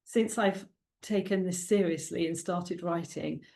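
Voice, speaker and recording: A woman's voice: lowish voice, measured pace, vocal fry. A middle-aged woman from South Wales with a Welsh accent, a native Welsh speaker, chatting as a guest in an interview, with frequent ums and laughs. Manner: warm, chatty, laughs often, many ums. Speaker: guest author. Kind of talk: casual interview chat.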